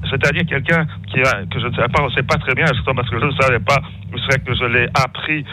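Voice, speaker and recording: A man with a bright, forward voice phones in to a radio show. He rambles and halts, repeating himself, with faint noise and hum on the call. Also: medium pitch, steady tone, quick pace, rough recording. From Belgium. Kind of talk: unscripted phone-in remarks.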